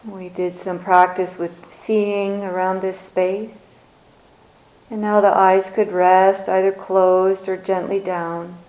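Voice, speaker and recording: Slow, mellow woman guiding meditation. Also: medium pitch, flat delivery, poor audio. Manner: gentle, soothing, unhurried phrasing. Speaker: meditation teacher. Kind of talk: guided meditation, softly spoken with long pauses.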